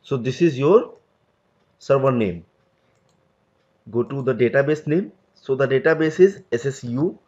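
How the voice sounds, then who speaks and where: A man explaining a cloud software tutorial step by step over a screen recording, in loose, conversational phrasing. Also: medium pitch, expressive, unhurried, pauses often, lo-fi sound. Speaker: tutorial instructor.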